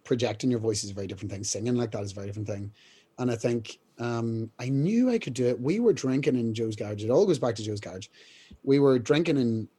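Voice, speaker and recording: Young male rock singer, mellow husky speaking voice, casual, rambling. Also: medium pitch, expressive, fast. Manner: rambling, many fillers and restarts, clears throat often. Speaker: band singer, interview guest. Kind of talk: casual storytelling interview.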